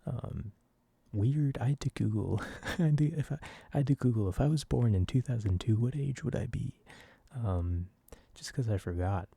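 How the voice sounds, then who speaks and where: Young man, early twenties, very mellow dark tone, husky, soft-spoken ASMR rambling, casual. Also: mid-range voice, moderate pace, no room sound. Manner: casual, self-deprecating. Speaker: ASMR video creator.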